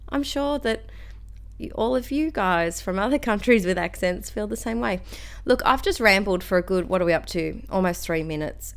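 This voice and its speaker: Chatty young australian woman. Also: medium pitch. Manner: rambling, self-deprecating, playful. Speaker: crafter and school teacher. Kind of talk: casual craft vlog, chatty.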